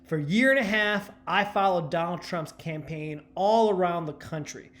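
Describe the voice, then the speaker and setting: A man in his thirties from New Jersey, telling stand-up stories with a dry delivery and deadpan punchlines. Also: high-pitched, moderate pace. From New Jersey, USA. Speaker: stand-up comedian and journalist. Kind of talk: stand-up storytelling.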